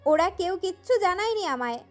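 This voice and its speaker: A young wife in tears, her voice pitched very high and pleading like a child's, as the woman reading the story puts her on. She sounds fragile and clingy. Light music plays faintly behind. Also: lively intonation, a little fast. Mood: tearful. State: crying.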